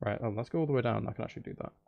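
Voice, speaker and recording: A man chatting casually on a livestream as he makes music, excitable and apologetic, with exclamations and nonsense syllables for riffs. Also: rapid-fire, vocal fry, dull audio. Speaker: streamer making music. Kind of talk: casual livestream chat while composing music. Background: bass guitar and music playing.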